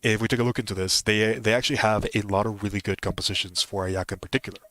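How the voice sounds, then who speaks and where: A man's voice: on the low side, flat delivery, very fast, a bit bright. A man streaming a video game, talking casually and explaining strategy, often rephrasing his points. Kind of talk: casual game commentary and advice.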